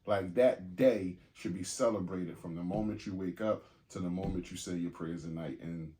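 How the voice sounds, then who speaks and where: Candid, low-voiced man in his forties. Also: normal speed, dark tone, slight background noise. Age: 40s. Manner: candid, profane, laughs easily. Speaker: podcast co-host. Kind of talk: casual chat.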